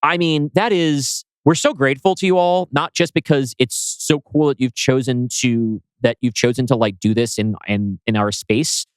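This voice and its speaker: A man chats casually as a podcast co-host. His voice sits at a middle pitch with a dry, close sound. He talks informally, wandering through his thoughts as he goes. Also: steady tone, moderate pace, hardly any pauses, smooth, no room sound. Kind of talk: casual chat.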